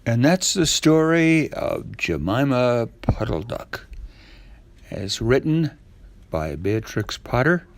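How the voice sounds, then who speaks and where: A man's voice: medium pitch, big pitch swings, slow, faint music behind. A man narrating a children's story expressively as a storyteller.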